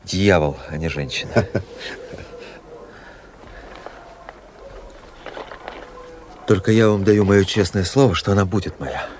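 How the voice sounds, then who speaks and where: An actor playing a proud, passionate young officer in a radio play, speaking in a dramatic, declarative manner. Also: male voice, sits low, brisk, a little mellow, natural voice. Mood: defiant. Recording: radio play with faint noise.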